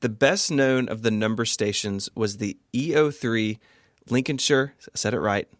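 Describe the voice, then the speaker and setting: A man's voice: mid-range voice, normal speed, no room sound, studio quality. A man co-hosting a podcast, reading scripted explanations mixed with casual banter. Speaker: podcast co-host.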